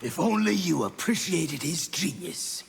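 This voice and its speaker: A man voicing a disciplined, aggressive soldier in a fighting game, with a curt, intense and forceful manner. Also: fairly high pitch, sing-song, unhurried, gravelly, heavily acted. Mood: curt, hostile. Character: disciplined, aggressive male soldier, loyal to his general. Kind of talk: fighting game character acting. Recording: reverberant hall, background noise.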